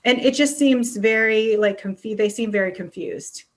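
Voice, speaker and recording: A woman's voice: fairly high pitch, moderate pace. A woman podcast host and coach giving informal advice in a casual chat, often checking in with the listener. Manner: informal, often checks in with "right?" and "you know".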